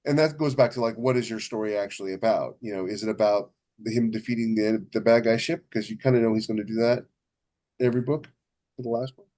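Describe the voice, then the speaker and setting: A man's voice: lowish voice, fairly even intonation, fast talker, warm tone. A man co-hosting a writing podcast as a novelist, chatting casually and emphatically, often rephrasing himself as he goes. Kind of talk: casual chat about writing craft.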